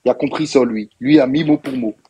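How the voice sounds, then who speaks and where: A man's voice: mid-range voice, fairly quick, mellow, slight background noise. A man in a live debate who interjects often and talks in casual slang. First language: French. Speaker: debate guest.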